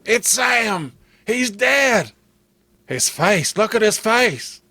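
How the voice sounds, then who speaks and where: A man's voice: high voice, sing-song, very slow, bright, forceful tone, dry recording, voice pushed up, full character voice. A man voicing an anguished, grieving backwoods swamp man in a horror story, with folksy rural speech and an overwrought, pleading manner. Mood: horrified.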